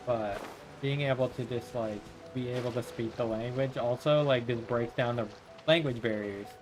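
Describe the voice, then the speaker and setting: A man's voice: mid-range voice, steady tone, moderate pace, poor audio. A man livestreaming a video game, talking in a casual, rambling way. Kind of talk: casual rambling stream chat. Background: game music.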